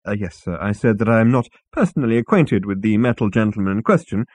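A man voicing a polite, formal alien waiter who speaks slowly and deferentially. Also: lowish voice, expressive, a little mellow, dry recording.

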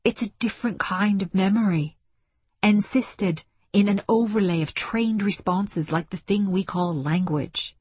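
A woman's voice: mid-range voice, unhurried, darkish tone, slightly rough. A woman voicing an intense, impassioned woman delegate in a science fiction story, speaking in earnest, emphatic declarations. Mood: urgent, insistent.

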